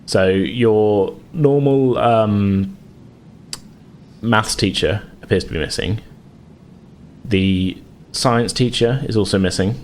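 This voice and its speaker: Male game master, deep register, halting improvised narration, faint noise behind the voice. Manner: hesitant, hedging delivery.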